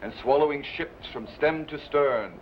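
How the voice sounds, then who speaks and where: A man's voice: a little high, normal speed, lightly acted. A man playing a weary, earnest middle-aged sea admiral in a formal, declamatory old-time radio adventure style. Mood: earnest, patient. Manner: formal, declamatory archaic phrasing. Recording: dull, noisy old radio recording.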